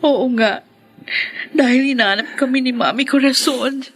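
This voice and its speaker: A woman's voice: high-pitched, normal speed. A woman acting as a loving young mother and sweetheart in a radio drama, tender and emotive. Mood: relieved tenderness.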